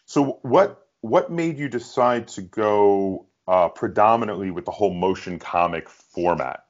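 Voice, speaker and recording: A man with a low-pitched voice hosts a casual interview. He talks conversationally as he puts questions and adds comments. The sound is somewhat dull and lo-fi. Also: a little slow. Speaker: interviewer, online show host.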